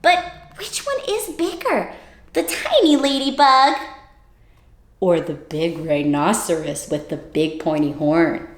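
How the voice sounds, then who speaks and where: A woman reading a storybook aloud to children, expressive and playful, with dramatic emphasis and asides to the listeners. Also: lowish voice, slow, roomy sound. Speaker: children's read-aloud host.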